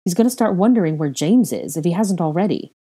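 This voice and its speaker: A woman's voice: on the low side, animated, quick pace, dark tone, husky. A woman voicing a proud, hot-tempered young father in an audiobook, with a terse, confrontational manner and a growl. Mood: accusing. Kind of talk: acted audiobook dialogue.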